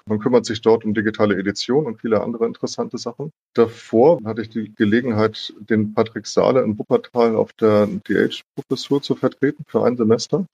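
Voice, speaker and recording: Husky man on a dull remote connection. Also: lowish voice, darkish tone. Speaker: interview guest, digital humanities academic. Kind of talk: informal, conversational interview answers. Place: remote online call.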